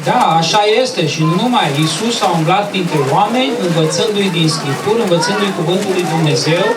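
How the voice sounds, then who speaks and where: Woman storyteller for kids, teachy, repeats herself, roomy sound with loud crowd. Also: mid-range voice. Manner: didactic, repeats phrases, checks with listeners. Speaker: children's event host and storyteller. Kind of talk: live Bible storytelling to children. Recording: echoey, distant, very noisy crowd recording.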